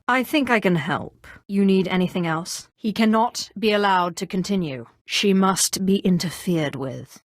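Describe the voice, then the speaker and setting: Woman doing a cold bossy sith lady for a video game, dry sarcasm, medium pitch, super close clean studio sound. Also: dry recording, natural voice. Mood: composed, willing. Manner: imperious, dryly sarcastic, crisply controlled delivery. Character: haughty, menacing young female Sith sorceress, sardonic and cold. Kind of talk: scripted video game character dialogue.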